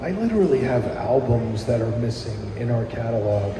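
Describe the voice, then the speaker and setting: A man from New Jersey, a guest musician, telling stories in a casual radio interview, rambling and animated with many fillers, in an echoey live room. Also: medium pitch, brisk, noisy background. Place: live broadcast at a plaza venue.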